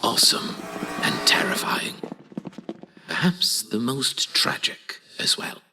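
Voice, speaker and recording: A man's voice: mid-range voice, lively intonation, takes it slowly, whispered. An actor playing an old male archivist who tells war history in a theatrical, conversational storytelling style for an audio drama. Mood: solemn storytelling.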